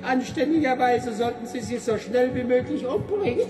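A woman's voice: sits high, moderate pace, lightly acted. An actress playing a mocking tormentor in a German radio drama, derisive and laughing between her phrases. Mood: gleeful mockery. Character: mocking, laughing tormentor in a man's anguished imagination. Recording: echoey studio effect with music.

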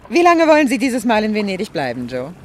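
A woman's voice: medium pitch, wildly swooping pitch, fast. An actress playing a worldly, ironic middle-aged society lady in a 1960s German radio drama, teasing and questioning expressively. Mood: playful, curious. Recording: faintly noisy 1960 radio recording.

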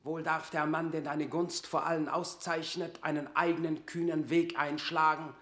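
An actor playing a haughty, scheming earl in a classical verse radio drama, declamatory and pompous. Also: male voice, on the high side, level tone, normal speed, fairly bright tone, roomy sound, natural voice. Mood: proud, defensive. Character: haughty, scheming earl, a self-assured courtier.

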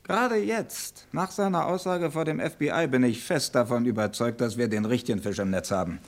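Actor as a gravelly, shrewd police inspector. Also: male voice, mid-range voice, brisk, lightly acted. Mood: confident, musing. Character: shrewd, self-assured male police inspector. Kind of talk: vintage German radio crime drama acting.

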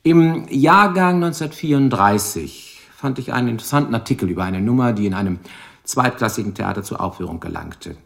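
An actor playing a shrewd, dogged police lieutenant in a radio crime drama, probing deliberately with dry irony. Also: male voice, medium pitch, expressive, vocal fry. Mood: dryly pressing.

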